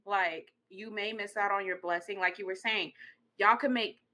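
Casting: woman from Congo, podcast co-host, forceful bright tone, animated colloquial chat. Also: mid-range voice, moderate pace, a little hiss or hum. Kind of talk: casual relationship discussion.

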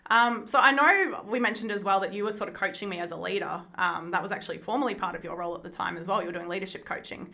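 A woman's voice: medium pitch, vocal fry. A woman hosting a podcast interview, asking long, rambling questions in a casual way. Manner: quick, bright, rambling questions with fillers. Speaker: podcast host.

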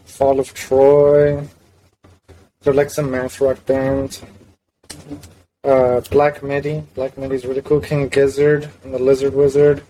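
A man's voice: medium pitch, mellow, slight background noise, poor audio. A young man from South Florida, a guitarist and singer, talking in a laid-back, hesitant way as a podcast guest. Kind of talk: casual interview chat.

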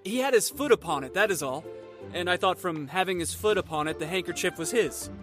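A man voicing a young Gascon who is eager, formally polite and quick to take offense, in a dramatized storybook reading. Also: high-pitched, a bit bright, soft background music, voice pushed up. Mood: polite, apologetic. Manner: courteous, formal, earnest.

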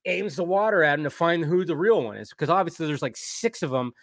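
A man's voice: on the high side, lively intonation, fairly quick, gravelly, no echo, clean studio sound. A man co-hosting a casual recap and commentary stream, rambling along with lots of filler phrases as he retells scenes. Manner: rambling, peppered with "like" and "you know". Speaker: co-host of a cartoon episode review stream.

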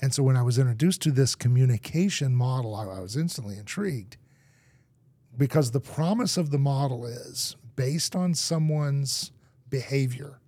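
A man who grew up in Argentina, a podcast guest telling lively stories in conversation. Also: mid-range voice, moderate pace, breathy edge, no echo. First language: English. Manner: lively storyteller acting out anecdotes. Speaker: guest, marketing executive.

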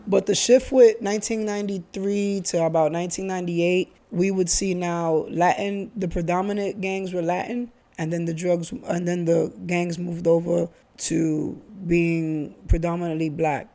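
An earnest man from the Bronx, somewhere in his thirties, talking through his views in a loose, conversational way. His voice sits high for a man yet sounds mellow and soft-edged. He restarts sentences often and repeats himself for emphasis. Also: little pitch movement, moderate pace, few pauses. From Bronx, New York. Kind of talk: casual chat.

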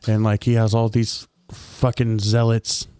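A man's voice: on the low side, monotone, measured pace, mellow, clear, resonant tone, dry recording. A man co-hosting a casual podcast, drawling and hedging with lots of filler words. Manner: drawling, hedging with "you know" and "like". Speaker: podcast co-host.

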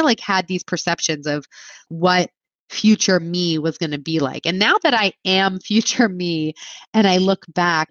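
A woman's voice: on the low side, a bit bright, no echo, muffled recording. A woman in her thirties, a clinician guest, answering interview questions in a reflective, think-aloud way with frequent filler words. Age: 30s.

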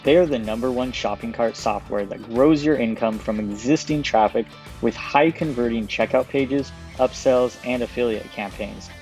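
A man co-hosting a podcast, reading sponsor thanks in a steady, scripted way. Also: medium pitch, normal speed, soft background music. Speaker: podcast co-host. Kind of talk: sponsor reads.

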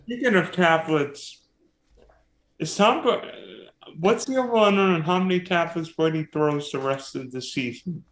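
A man's voice: fairly high pitch, unhurried. A man hosting a casual sports podcast, teasing and quizzing his guest. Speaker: podcast host. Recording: roomy echo.

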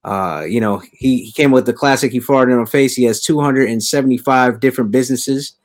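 Male podcast host, average pitch, jokey ribbing tone. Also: brisk. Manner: joking, teasing, laughs often. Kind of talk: casual podcast chat.

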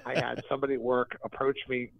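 A man's voice: mid-range voice, level tone, fast, gravelly, dry recording. A man with a Mid-Atlantic accent, a guest on a podcast, talking in a rambling way and correcting himself as he goes. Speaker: guest, event organizer. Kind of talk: casual podcast interview. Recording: dry tinny phone-line sound.

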